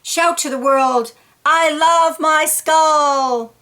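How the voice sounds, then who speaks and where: A woman reading a children's picture book aloud in an expressive, deliberate way, with playful emphasis on key words. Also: high-pitched.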